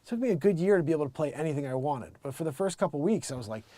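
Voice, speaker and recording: A man chatting casually and excitably to viewers as a livestream host, rambling off into asides. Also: a little high, racing, dry recording. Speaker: live stream host and street-style musician.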